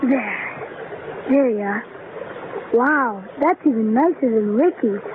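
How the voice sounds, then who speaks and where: High-voiced innocent young boy, old radio. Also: slow pace, narrow, tinny audio. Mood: matter-of-fact. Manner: innocent, matter-of-fact, childlike. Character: eager, secretive young boy Gerald, blithely friendly with a monster. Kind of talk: old-time radio horror acting. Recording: noisy, band-limited old radio recording.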